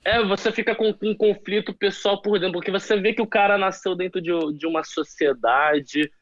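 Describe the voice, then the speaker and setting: A man's voice: high-pitched, steady tone, fairly quick, no room sound, lo-fi sound. A Brazilian man talking casually as an interview guest, hesitant, with frequent restarts as he thinks aloud. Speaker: guest, former soldier.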